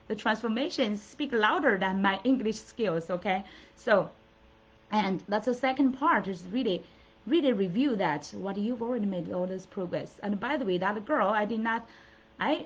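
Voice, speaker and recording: A woman's voice: on the high side, slightly rough. A middle-aged woman from China, a native Mandarin speaker, giving an earnest, encouraging motivational talk as coach and host, with many fillers and restarts. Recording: dull lo-fi audio.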